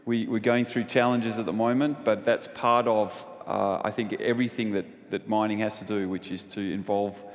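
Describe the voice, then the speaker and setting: A man with a low voice answers a panel question in a relaxed, personal way, trailing off as he goes on. He sounds somewhat off-mic. His voice carries the long reverb of a large hall. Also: normal speed, few pauses. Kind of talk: conference panel discussion. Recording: hall reverb, heard from a distance.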